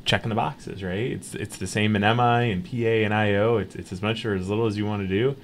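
A man hosting a casual interview who speaks in a measured, conversational way, tossing in "right?" as he goes. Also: on the low side, fairly even intonation, slight background noise.

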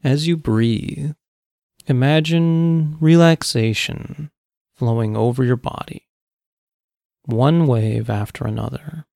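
Male meditation coach, calm and measured, dry close studio sound, lots of vocal fry. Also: mid-range voice, no room sound. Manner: calm, measured, long pauses between phrases. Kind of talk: guided meditation, slow soothing instruction.

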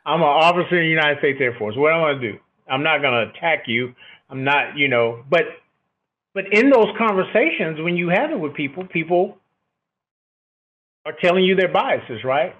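A middle-aged man with a booming voice, an officer answering interview questions reflectively and often checking in with "right?". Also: lively intonation, moderate pace, long pauses. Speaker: military officer, podcast guest. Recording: thin, phone-like band-limited line.

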